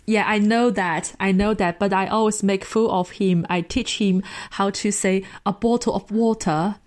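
A woman's voice: medium pitch, steady tone, normal speed, a little mellow. A woman chatting casually as a panelist in a film discussion, with a playful, teasing way of talking. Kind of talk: casual chat.

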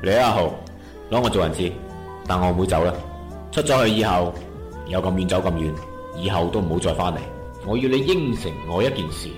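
A man playing a lively, proud gang boss. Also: sits low, fairly quick, long pauses. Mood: stern. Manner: swings from hurt to stern lecturing. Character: male gang boss, proud, wounded, stubborn. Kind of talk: comedy radio drama acting. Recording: echoey room with music underneath.

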